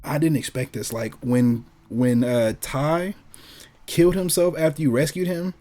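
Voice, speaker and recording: A man's voice: a little high, fairly even intonation, measured pace, dark tone, faint music behind. A man hosting a gaming talk show, chatting casually in an emphatic, opinionated, slangy way with plenty of swearing. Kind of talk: casual chat about video game movies and anime.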